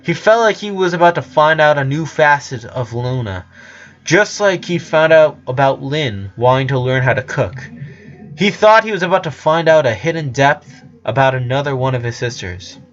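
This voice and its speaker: A man's voice: medium pitch, expressive, moderate pace. A man narrating a dramatic written story, occasionally stumbling over his words. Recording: slightly dull lo-fi recording.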